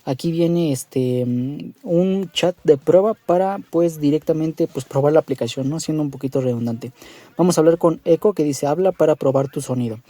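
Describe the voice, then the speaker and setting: A man's voice: a little high, normal speed, warm tone, no echo. A man narrating a casual app tutorial in an informal, meandering way, often checking in with the listener. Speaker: tutorial host.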